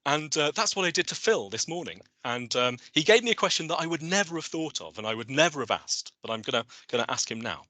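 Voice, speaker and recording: A man presents a coaching webinar over a video call. His delivery is animated and eager, with lots of restarts, and his bright, forceful voice swings widely in pitch. The sound is dry and close. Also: fast talker, few pauses, no room sound. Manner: enthusiastic, animated, with frequent restarts.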